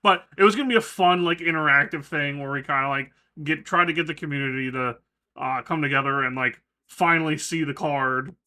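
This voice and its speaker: A man hosting a podcast chat in a very bright, sharp voice that sits a little high. He steers the topics and laughs easily. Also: a little fast. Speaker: podcast host. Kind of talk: casual chat.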